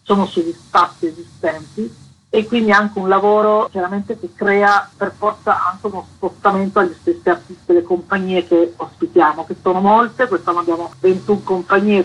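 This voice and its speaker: Bright, husky-voiced woman. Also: medium pitch, rough recording. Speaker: festival organiser being interviewed. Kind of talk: radio interview, explaining a programme.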